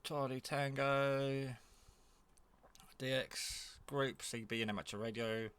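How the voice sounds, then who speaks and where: A man giving a casual, off-the-cuff product demo, rambling in a low-key way as he thinks aloud. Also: fairly even intonation, slow, fairly bright tone, smooth. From England. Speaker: hobbyist reviewer.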